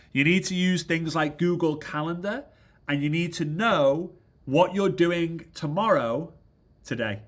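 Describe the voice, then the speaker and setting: A congested man giving an energetic motivational business training talk, often checking in with "right?". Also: on the high side. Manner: energetic, keeps asking "right?". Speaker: business coach.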